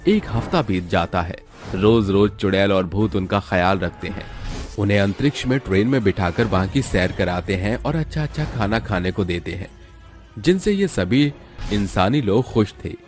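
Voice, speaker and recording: A man's voice: lowish voice, animated, normal speed, a little mellow. A man reading scripted narration for a horror cartoon, with music behind his voice.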